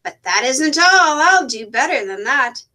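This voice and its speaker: A boastful turtle king from a children's storybook, acted out by a woman reader. The voice is high and forceful, with unhurried, pompous delivery. He exclaims and orders like a bossy ruler who thinks very well of himself. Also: very animated, heavily acted. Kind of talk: children's storybook read-aloud.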